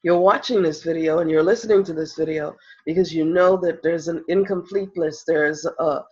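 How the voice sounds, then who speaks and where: A woman with a cold and a slightly nasal voice, giving a slow, emphatic spiritual pep talk that repeats phrases as it builds. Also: low-pitched, steady tone, a little mellow, slightly rough. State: has a cold, a little nasal. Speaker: spiritual life coach. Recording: dull lo-fi recording.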